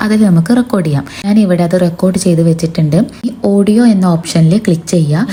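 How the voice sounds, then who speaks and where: A woman's voice: lowish voice, dark tone, breathy edge. A woman, hosting a tutorial and narrating it step by step. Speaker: video tutorial host.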